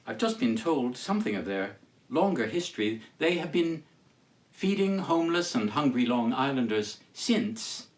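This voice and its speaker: A man giving a formal, declamatory literary reading as a storyteller, with deliberate phrasing and dramatic pauses. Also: medium pitch. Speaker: storyteller reading as Charles Dickens. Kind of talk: theatrical literary reading with introduction.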